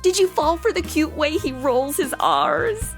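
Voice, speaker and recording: A woman's voice: high voice, lively intonation, a little slow, creaky, soft background music, heavily acted. An actress playing a brash, flippant young woman in a comedy audio drama, full of modern slang and sass. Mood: breezy. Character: brash, sassy modern young woman stuck in radio shows.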